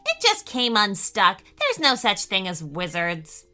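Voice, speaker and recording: A woman's voice: mid-range voice, huge pitch swings, unhurried, bright, forceful tone, soft background music, exaggerated voice. A woman voicing a sceptical young schoolboy in a children's story, emphatic and matter-of-fact. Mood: dismissive.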